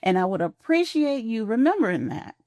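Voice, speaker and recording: A woman's voice: a little high, very animated, brisk, mellow, no room sound, pitched above the performer's own voice. A woman voicing a middle-aged husband, pleading at first and then firm, recorded dry and close.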